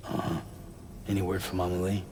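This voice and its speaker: An actor playing a gruff, weary courier in a video game, terse and drawling with a gravelly voice. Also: male voice, sits low, level tone, brisk, darkish tone, slight background noise, close to an everyday voice. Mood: weary, flat. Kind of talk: video game cutscene acting.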